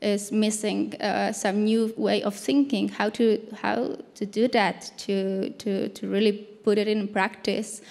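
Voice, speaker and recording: A woman in her thirties answering as a panel speaker, haltingly searching for words, on a handheld microphone in an auditorium. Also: medium pitch, level tone, creaky, darkish tone. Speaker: panel speaker, activist anthropologist. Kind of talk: unscripted answer at a panel discussion. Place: large dark auditorium stage. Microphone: handheld microphone held close. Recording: reverberant hall sound.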